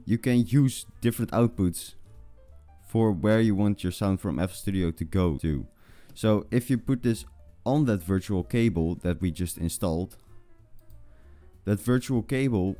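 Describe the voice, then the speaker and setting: Low, mellow young man. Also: no room sound. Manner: informal, halting, repeats words. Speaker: tutorial video host. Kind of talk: casual screen-recording tutorial.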